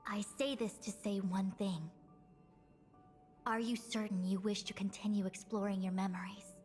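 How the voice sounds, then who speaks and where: A woman plays an enigmatic, erudite diviner from a video game. The delivery is formal, measured and analytical, at a medium pitch. Long reverb surrounds the voice, with faint music behind. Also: little pitch movement, darkish tone, natural voice. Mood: probing.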